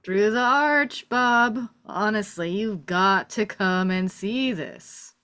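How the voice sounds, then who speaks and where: A woman's voice: mid-range voice, slow, fairly bright tone, clear, resonant tone. A woman playing an eerie, insistent soldier who coaxes a comrade in a dramatic table read. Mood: eerie, coaxing. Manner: repetitive, coaxing.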